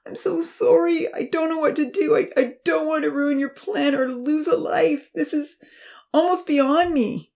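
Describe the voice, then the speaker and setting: A woman's voice: high voice, fairly even intonation, a little slow, vocal fry, a little mellow, voice pushed up. A woman voicing a frantic uncle who stammers through panic and tears, breathless and pleading. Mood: sobbing.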